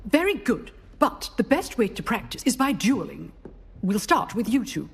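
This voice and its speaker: A stern magic professor. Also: female voice, a little high, sing-song, moderate pace, heavy background noise. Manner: crisp, commanding, formal teacher's delivery. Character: strict demanding female magic professor. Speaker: professor teaching dueling. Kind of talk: video game voice acting.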